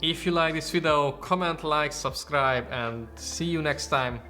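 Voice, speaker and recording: A man's voice: on the high side, unhurried, music in the background. A young man from Hungary with a Hungarian accent, speaking English as a second language in an earnest, informal vlog about his photography channel. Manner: earnest, informal, non-native phrasing. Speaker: photography YouTuber. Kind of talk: casual talking-to-camera vlog.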